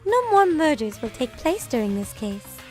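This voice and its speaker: Woman acting composed female student, high pitch, lilting intonation, formal, precise, authoritative, anime game fan dub. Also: sing-song, normal speed, over music. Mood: composed, assured.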